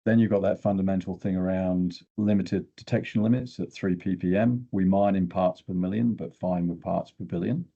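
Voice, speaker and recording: Very deep, gravelly older man, slightly dull audio. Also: fairly even intonation, moderate pace, hardly any pauses, warm tone. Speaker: guest expert in exploration geochemistry. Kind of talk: technical interview explanation.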